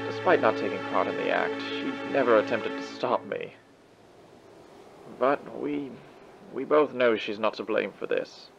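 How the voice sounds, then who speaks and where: A man's voice: on the low side, measured pace, creaky. An actor playing a proud, theatrical male demon with formal, elaborate diction and an old radio filter in a comic dub. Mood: earnest, guilty. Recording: muffled band-limited sound, music behind. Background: music.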